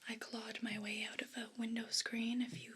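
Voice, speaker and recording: A woman voicing a haughty, possessive evil pet cat in an ASMR role play, whispering in a breathy, condescending, complaining way. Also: on the high side, flat delivery, fairly bright tone, a little hiss or hum, close to an everyday voice. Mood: disgusted, complaining. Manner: slow, deliberate, condescending, disdainful.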